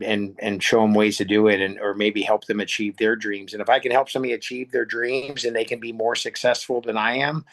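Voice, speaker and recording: A man's voice: fairly low pitch, a bit bright. A middle-aged American man talking casually and reflectively as an interview guest, plain-spoken and often searching for words. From United States. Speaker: interview guest, air show pilot.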